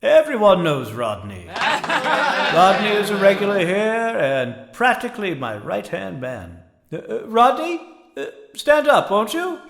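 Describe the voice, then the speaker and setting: Man playing a high, effusive charity doctor. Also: heavily acted. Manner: effusive, chuckling, flustered. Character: jolly, gushing doctor running a charity mission. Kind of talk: comic audio drama acting. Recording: heavy noise and room echo.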